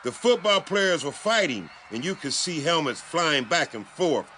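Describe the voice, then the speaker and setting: A man's voice: fairly high pitch, big pitch swings, normal speed, sharp tone, slight background noise. A man giving a dramatic scripted reading as an audiobook narrator.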